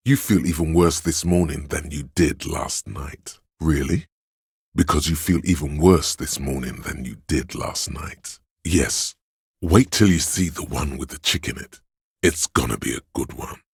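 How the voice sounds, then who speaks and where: An actor voicing a seductive, hedonistic inner voice of addiction and lust in a video game, savoring words in a husky, insinuating way. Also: male voice, measured pace, no echo, studio quality, heavily acted. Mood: coaxing, knowing. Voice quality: deep, gravelly, husky. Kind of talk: video game character narration.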